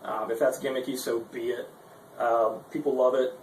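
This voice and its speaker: A man's voice: mid-range voice, level tone, normal speed. A man giving a casual, informal instructional demo, speaking hesitantly and with some self-deprecation. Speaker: guitarist giving a gear demonstration. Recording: roomy, distant mic with background noise.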